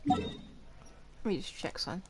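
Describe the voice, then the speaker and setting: A woman's voice: measured pace, loud music behind the voice. A woman game streamer giving casual live commentary, chatting to herself as she plays. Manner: chatty, talks to herself while playing.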